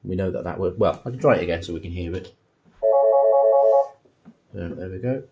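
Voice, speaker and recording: A man's voice: low-pitched, fairly quick, gravelly, over music. A man narrating a casual hands-on tutorial as an installer, thinking aloud in an informal way. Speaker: installer demonstrating intercom setup.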